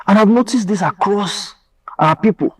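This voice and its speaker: Nigerian man telling a lively story. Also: high-pitched, normal speed, recorded from a distance. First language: Yoruba. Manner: lively, mimics people, mixes in pidgin. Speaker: content creator. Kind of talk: animated storytelling monologue.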